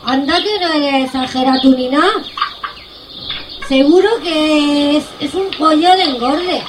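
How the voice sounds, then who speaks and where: A woman's voice: high voice, measured pace, noisy background. A woman playing a sceptical, grumbling farmyard hen in a comic radio play. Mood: dismissive. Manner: dismissive, colloquial. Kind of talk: comic radio theatre acting.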